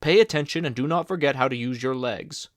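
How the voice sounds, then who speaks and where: A man's voice: medium pitch, brisk, no echo, studio quality, close to an everyday voice. A man reading a worried poor woodcutter father. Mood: fatherly. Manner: troubled, soft-spoken.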